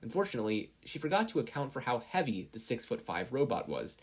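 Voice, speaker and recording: A man's voice: mid-range voice, big pitch swings, fast, slightly rough. A man reading a story aloud as scripted narration. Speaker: podfic reader, narrator.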